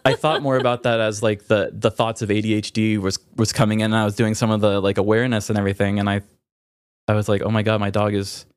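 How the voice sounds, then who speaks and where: A man hosting a podcast, talking candidly and reflectively in a halting way, searching for words. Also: on the low side, flat delivery, clean tone, no echo. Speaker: podcast host. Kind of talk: candid, reflective personal conversation.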